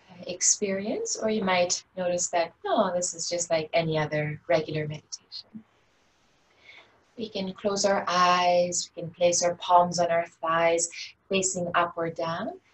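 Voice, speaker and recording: A woman teaching a guided meditation in a gentle, unhurried, inviting way. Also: on the low side. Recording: distant mic with faint background noise.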